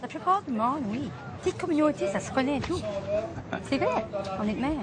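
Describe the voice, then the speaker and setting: Acadian woman, Chiac accent, lively high voice, festival crowd around. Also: a little fast. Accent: Acadian French (Chiac). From New Brunswick, Canada. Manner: eager, proud, short replies. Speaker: local interviewee. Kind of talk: casual street interview. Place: outdoor festival. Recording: outdoor crowd noise behind.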